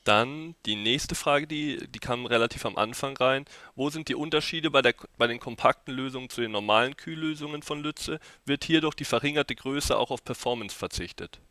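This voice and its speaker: A man's voice: mid-range voice, normal speed, hardly any pauses, bright, forceful tone, no room sound. A young man moderating a live Q&A over a headset, politely reading out audience questions. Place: indoors at a desk.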